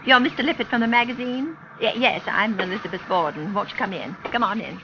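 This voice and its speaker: An actress playing a haunted, defensive older woman in old radio suspense, halting and plaintive as she speaks. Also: on the high side, noisy background, lightly acted. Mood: flustered, polite. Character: haunted, defensive older woman long accused of parents' murder. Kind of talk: 1940s-50s radio suspense acting. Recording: muffled old radio recording.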